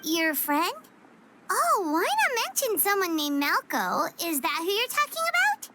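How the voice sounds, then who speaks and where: A woman's voice: extremely high pitch, wildly swooping pitch, a little slow, background noise, full character voice. A woman voicing a tiny, curious, chirpy floating companion in a video game. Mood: puzzled. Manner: exclamatory, inquisitive. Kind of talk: English video game voice acting. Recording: loud game music underneath. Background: music.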